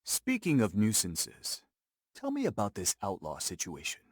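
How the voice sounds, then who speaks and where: An actor playing an earnest, principled young war veteran of Lakota descent, measured and sincere, in a video game cutscene. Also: male voice, lowish voice, wildly swooping pitch, no room sound, studio quality, exaggerated voice. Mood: friendly, wry.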